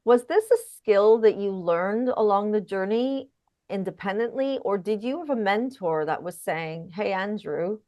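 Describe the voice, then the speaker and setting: Woman hosting an interview, mid-range pitch. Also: lively intonation, moderate pace, warm tone. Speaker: interview show host. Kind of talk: structured, conversational interviewing.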